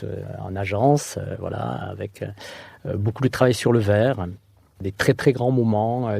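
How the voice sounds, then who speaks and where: A man's voice: lowish voice, creaky, mellow, slightly rough, no room sound. A middle-aged man from the southwest, an architect answering interview questions hesitantly, with many fillers and restarts. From France. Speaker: architect guest.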